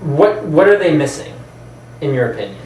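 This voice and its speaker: Podcast host guy, swingy pitch, casual, echoey and a bit far off w faint noise. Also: very animated, normal speed, darkish tone. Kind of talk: casual chat about movies. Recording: roomy, distant mic, faint background noise.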